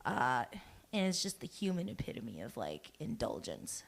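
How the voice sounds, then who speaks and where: A woman's voice: rumbling low, wildly swooping pitch, moderate pace, vocal fry. A woman talking casually as a member of a table read cast. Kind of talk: casual chat.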